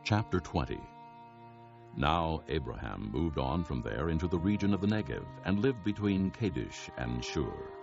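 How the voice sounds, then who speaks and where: Super deep bible narrator guy, solemn but animated delivery, music behind. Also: moderate pace, creaky, lo-fi sound. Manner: solemn storytelling. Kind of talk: scripted dramatic Bible narration.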